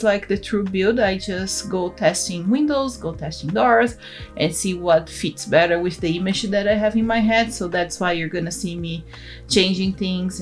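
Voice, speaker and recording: A young woman from Brazil, a native Portuguese speaker, talking in English with a Brazilian accent in a casual, laughing commentary over her game build. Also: mid-range voice. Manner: chatty, laughs often, restarts words. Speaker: gaming channel host.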